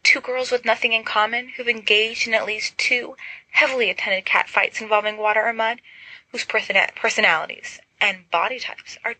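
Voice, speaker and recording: Woman doing a breathy, clingy, simpering girl. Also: fairly high pitch, steady tone, moderate pace, bright, forceful tone, no echo, voice pushed up, full character voice, poor audio. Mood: coy. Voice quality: breathy, whimpering.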